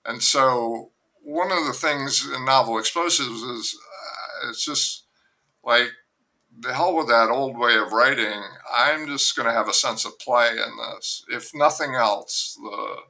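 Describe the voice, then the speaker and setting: A novelist talking in a relaxed interview, hesitant and rambling, with many fillers and restarts. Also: male voice, mid-range voice, unhurried, rough recording. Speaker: novelist guest. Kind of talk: casual interview conversation.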